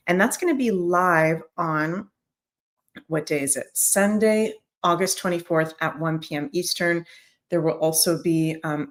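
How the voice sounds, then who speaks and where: A woman hosting a daily astrology show, chatting casually to her viewers as "you guys", her voice a little scratchy today. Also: sits low. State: slightly scratchy voice.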